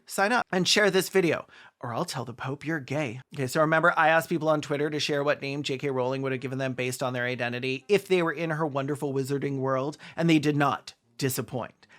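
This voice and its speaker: A man's voice: medium pitch, fairly bright tone. A man delivering scripted commentary as a video essayist, sardonic and emphatic, with casual asides. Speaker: video essayist, channel host.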